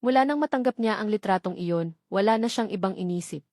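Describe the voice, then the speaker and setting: A Filipino woman narrates a dramatic love story in audiobook style. Her voice sits at a medium pitch for a woman. The sound is dry, with no room echo, and the delivery is scripted storytelling. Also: fairly quick, darkish tone.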